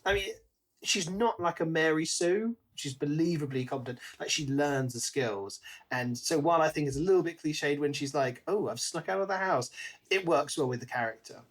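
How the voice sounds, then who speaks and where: A man's voice: a little high, moderate pace. British man chatting about books. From Britain. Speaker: podcast co-host. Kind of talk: casual book discussion.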